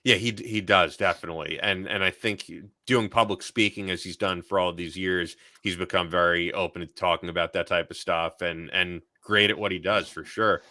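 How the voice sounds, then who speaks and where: A man in his thirties hosting a podcast, asking questions in an upbeat, lively way. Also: low voice, normal speed, few pauses, no room sound, clean studio sound. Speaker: podcast host. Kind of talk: interview conversation. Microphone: studio mic on video call.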